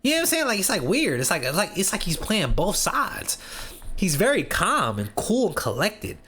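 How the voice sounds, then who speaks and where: A man giving loud, mocking, slangy running commentary as he reacts to a dating show. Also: high voice, huge pitch swings, moderate pace, a little hiss or hum. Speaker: reaction video host.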